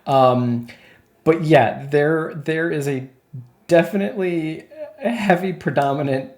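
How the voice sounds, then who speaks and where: A man with a Wisconsin accent hosting a casual podcast chat about a film, chatty and animated. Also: a little high, takes it slowly. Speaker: podcast host.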